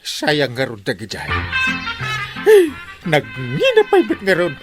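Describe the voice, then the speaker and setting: A man with an Ilocano accent playing a nosy, excitable village captain in a comedy radio drama, with drawn-out exclamations and hesitant openers. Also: a little high, loud music behind the voice, full character voice. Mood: suspicious, insistent.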